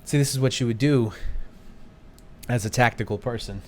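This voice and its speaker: A man's voice: medium pitch, normal speed, a little mellow, slight background noise. A man co-hosting a podcast, chatting casually over a beer and rambling with restarts. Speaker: podcast co-host. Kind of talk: casual chat over beers.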